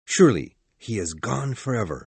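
A man acting a grave, formal gentleman in a gothic mystery audiobook, speaking in a deliberate, earnest way. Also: fairly low pitch, very animated, gravelly, breathy edge, dry recording, full character voice. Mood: earnest, insistent. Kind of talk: dramatised audiobook dialogue.

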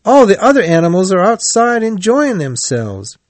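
A man voicing a cheerful, brisk male water rat in an animal storybook, with a bright, practical manner. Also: high-pitched, very animated, dry recording, voice pushed up.

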